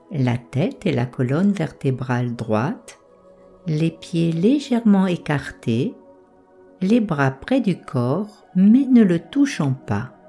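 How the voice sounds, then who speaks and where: A woman guiding a yoga nidra relaxation, giving calm, soothing, measured instructions with pauses. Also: sits low. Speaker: meditation guide.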